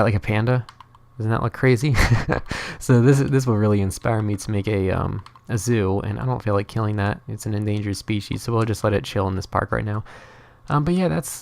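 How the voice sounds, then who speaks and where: Gravelly young Norwegian man, rambling. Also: fairly low pitch. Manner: rambling, hesitant, many fillers and restarts. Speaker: gaming channel host. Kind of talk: casual gameplay commentary.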